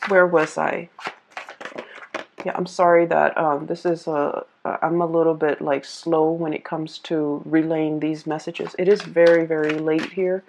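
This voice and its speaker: A woman tarot reader speaking casually and off the cuff to viewers, often pausing and repeating herself as she searches for words. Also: low voice, flat delivery, vocal fry, noisy background. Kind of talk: casual, improvised tarot reading addressed to viewers.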